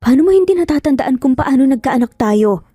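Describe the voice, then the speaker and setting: A woman's voice: high-pitched, expressive, brisk, warm tone, breathy edge, dry recording. Woman playing a serious man, close and dry. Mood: quiet, heavy. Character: serious, heavy-hearted father haunted by a memory. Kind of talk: audio storybook drama.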